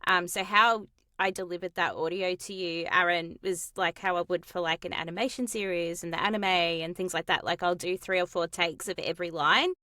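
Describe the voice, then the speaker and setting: A woman talks casually in short, animated bursts. Her voice is fairly low yet clearly bright and forward. The sound is close and dry, as in a voice booth. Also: fairly quick, dry recording. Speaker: voice actor and acting coach. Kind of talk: casual interview chat. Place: home or studio voice booth. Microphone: close studio mic in a recording booth.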